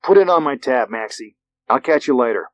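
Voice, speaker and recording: A man's voice: medium pitch, expressive, fairly quick, no room sound, muffled recording, pitched above the performer's own voice, natural voice. An easygoing American state policeman voiced by a man in an audiobook. He speaks casually and politely, like a small-town local.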